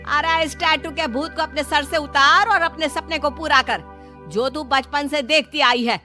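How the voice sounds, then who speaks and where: Woman acting a bossy mother-in-law, bright piercing voice, nagging, sarcastic, cartoon story, music underneath. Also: sits high, big pitch swings, measured pace, heavily acted. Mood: scolding. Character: scolding, bossy but caring Indian mother-in-law. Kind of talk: animated moral-story voice acting.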